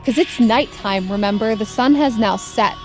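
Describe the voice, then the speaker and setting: A woman's voice: on the high side, moderate pace, faint music behind. A woman running a tabletop game as game master, improvising narration and descriptions for her players.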